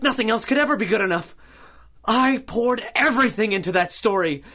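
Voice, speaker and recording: A man's voice: high-pitched, animated, a little fast, sharp tone, heavily acted. A man playing an obsessed, self-pitying reporter turned killer, ranting melodramatically in a 1930s-style radio drama. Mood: bitter, ranting.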